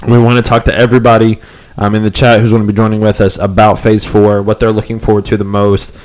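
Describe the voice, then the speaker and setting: Smooth low-voiced man with a cold. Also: quick pace. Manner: relaxed and rambling, with many ums and restarts. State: voice cutting in and out from a cold. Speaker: co-host of a fan podcast live stream. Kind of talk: casual live-stream chat.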